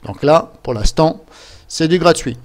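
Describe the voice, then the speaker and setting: Informal man giving a software walkthrough. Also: a little high, expressive, unhurried. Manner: informal, chatty, step-by-step explaining. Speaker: software tutorial presenter. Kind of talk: casual screen-capture software tutorial. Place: voice-over recorded at a computer during screen capture.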